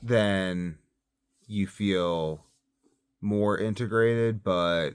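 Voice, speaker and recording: Very low-voiced man, casual video diary. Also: drawn-out words, smooth, dry recording, clean studio sound. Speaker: self-experiment health vlogger and parent. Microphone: phone set up close by.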